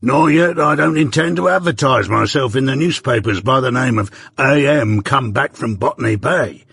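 A man reading an audiobook voices a rough old male ex-convict who dotes and blusters in a broad rustic dialect. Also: mid-range voice, normal speed, fairly bright tone, no echo, clean studio sound. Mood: confiding. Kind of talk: audiobook character voice.

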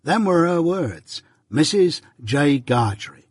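A man voicing a simple, kindly country working man who speaks slowly and haltingly with rustic grammar in a storybook. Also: fairly high pitch, very animated, husky, dry recording, clean studio sound, voice pushed up, heavily acted. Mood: slow, deliberate.

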